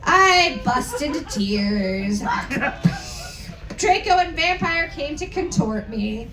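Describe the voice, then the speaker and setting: A woman's voice: sits high, animated, slow pace, music in the background. A tipsy woman giving a theatrical, exaggerated comic reading to a live audience, breaking off for joking asides. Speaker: performer, reader. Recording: echoey live room, faint noise.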